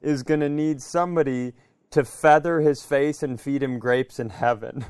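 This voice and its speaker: A man's voice: medium pitch, steady tone, moderate pace, darkish tone, clean tone, no room sound, clean studio sound. A man co-hosting a comedy podcast, telling stories casually and riffing in a conversational way. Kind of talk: casual comic storytelling.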